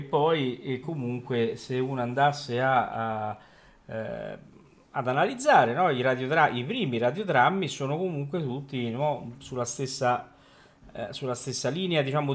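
A man hosting a casual radio talk, rambling hesitantly and often seeking agreement. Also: mid-range voice. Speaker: radio host.

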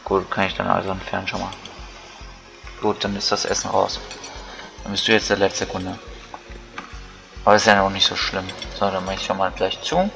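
A man's voice: low-pitched, monotone, normal speed, gravelly, pauses often. A man giving casual let's play commentary, thinking aloud in colloquial speech. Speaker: gaming video creator. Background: game music.

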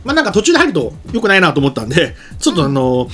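A man's voice: a little high, wildly swooping pitch, rapid-fire, a bit bright. A middle-aged man co-hosting an anime show, chatting casually and commenting with informal, joking asides. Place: small indoor streaming studio. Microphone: desk microphone.

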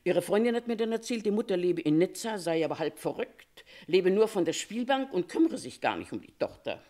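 A Paris concierge in a radio crime drama, a woman who loves to gossip. Her voice sits a little low but swings widely up and down as she confides her firm opinions. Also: sing-song, a little fast, warm tone. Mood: gossipy, knowing. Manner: chatty, confiding, opinionated storytelling. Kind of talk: German radio crime play acting.

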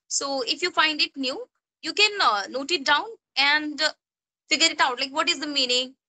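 A woman's voice: sits high, moderate pace, sharp tone. A young woman with an Indian English accent, a native Hindi speaker, tutoring English casually on a video call and often adding "you know" and "right?". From Jharkhand, India. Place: small room indoors. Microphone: phone at arm's length on a video call.

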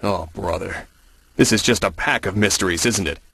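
An actor playing a tough, wry commando major in a video game, with a gruff delivery. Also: male voice, low-pitched, normal speed, pushed and bright, full character voice. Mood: skeptical. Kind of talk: video game cutscene acting.

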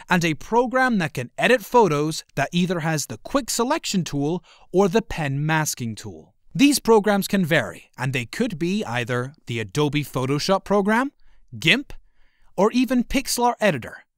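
A man's voice: a little high, dry recording, studio quality. A man hosting a tutorial in scripted narration, explaining in an instructional, step-by-step way. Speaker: tutorial host.

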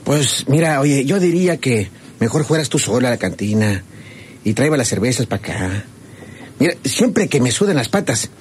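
Actor as grumbling rural Mexican outlaw, noisy recording. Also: male voice, mid-range voice, sing-song, normal speed, close to an everyday voice. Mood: wary. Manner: folksy, colourful, grumbling. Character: suspicious, grumbling rustic Mexican treasure-hunting outlaw. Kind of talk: old Spanish-language radio serial acting. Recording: noisy old radio drama recording.

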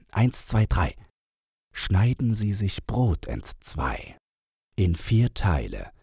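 Male audiobook narrator, deep, slow, slightly husky, measured, expressive, rhyming children's verse. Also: dry recording, clean studio sound.

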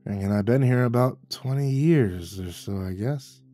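A man voicing a gruff, bitter old male war veteran neighbor who talks bluntly and crudely, in a storybook reading. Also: mid-range voice, moderate pace, warm tone, soft background music, close to an everyday voice. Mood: gruff, low.

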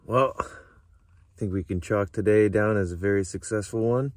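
A man in his thirties talking casually to a handheld camera about his travels, chatty and relaxed, full of fillers. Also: fairly low pitch, normal speed, dry recording, studio quality. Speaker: travel vlogger. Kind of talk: casual vlog talk to camera. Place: inside an SUV and outdoors by a coastal beach. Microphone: handheld phone or camera at arm's length.

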